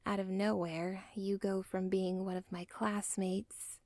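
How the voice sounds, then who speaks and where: A young woman voicing an obsessive villain's daughter in an ASMR roleplay, rambling in soft whispered asides that break the fourth wall. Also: mid-range voice, flat delivery, a little slow, no echo, natural voice. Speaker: audio roleplay performer.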